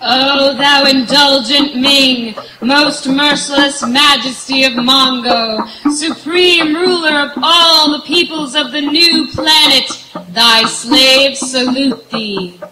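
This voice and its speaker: A man's voice: extremely high pitch, fairly even intonation, slow pace, a crowd in the background. A man playing a fawning, ceremonious servant of an alien emperor, declaiming grandly in an old-time radio serial. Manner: declamatory, archaic, grandly obsequious. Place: outdoor woodland stage at night. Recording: echoey live stage with audience.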